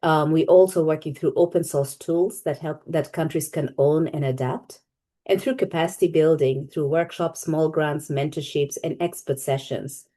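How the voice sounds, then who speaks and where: Low, mellow woman presenting slides. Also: fairly even intonation, normal speed. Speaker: webinar presenter.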